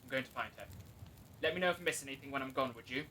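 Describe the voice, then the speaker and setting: Brisk, urgent captive acted by a man, noisy backdrop. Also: medium pitch, natural voice. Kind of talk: audio drama acting.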